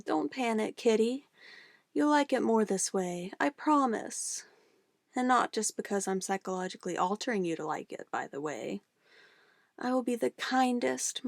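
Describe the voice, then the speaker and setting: Soft, creaky, possessive witch, acted by a woman. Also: mid-range voice, no room sound, clean studio sound, lightly acted. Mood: soothing, sinister. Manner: cooing, coaxing, condescending sweet talk. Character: possessive young-sounding witch, sweetly menacing, doting on her familiar. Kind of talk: ASMR roleplay acting.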